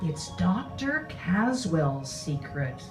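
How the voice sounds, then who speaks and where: A woman's voice: lowish voice, big pitch swings, exaggerated voice. A woman playing a prim, smug housewife in a vintage radio advert parody, speaking coyly and genteelly. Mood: coy, confiding. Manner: coy, genteel, deliberate. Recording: distant echoey stage, music behind.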